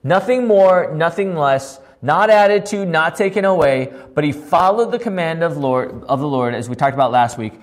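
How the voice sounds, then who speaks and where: A man with a hoarse voice teaching a Bible passage, asking questions and answering them himself. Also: on the high side, normal speed, few pauses. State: losing his voice, hoarse. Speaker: pastor teaching a Bible study.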